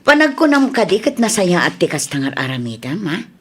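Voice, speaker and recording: A woman's voice: on the low side, very animated, fairly quick, slight background noise. A woman playing a stern, suspicious female authority figure with an Ilocano Filipino accent, questioning bluntly in a radio drama. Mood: stern, accusing.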